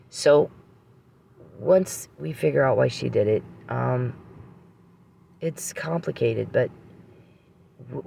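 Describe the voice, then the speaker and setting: Male vlogger, heavy vocal fry, emphatic and repetitive off-the-cuff delivery with halting restarts, slight background noise. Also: mid-range voice, darkish tone. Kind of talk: unscripted personal monologue.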